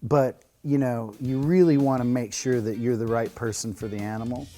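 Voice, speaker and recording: Middle aged guy, soft mellow tone, earnest expert giving casual advice in an interview. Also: mid-range voice, steady tone, moderate pace, soft background music. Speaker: guest snake expert and rescuer. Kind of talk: informal educational interview talk.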